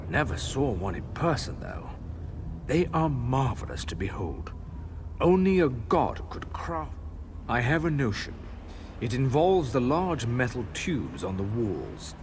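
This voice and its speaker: Learned male scholar, earnest, explanatory, expressive intonation, video game dialogue, noisy backdrop. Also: medium pitch.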